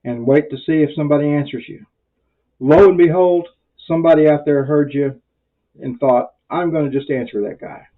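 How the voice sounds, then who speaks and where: A man's voice: on the high side, moderate pace, phone-quality sound. American man teaching informally, rambling delivery. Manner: rambling, conversational, self-deprecating. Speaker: amateur radio instructor. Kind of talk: informal spoken tutorial.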